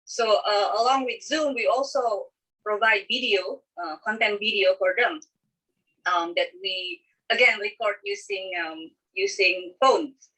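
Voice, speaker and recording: A woman from Indonesia presenting as a teacher in an informal, halting way, often repeating herself. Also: medium pitch, expressive, bright, forceful tone, husky. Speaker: teacher presenting a class schedule. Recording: distant microphone on online call.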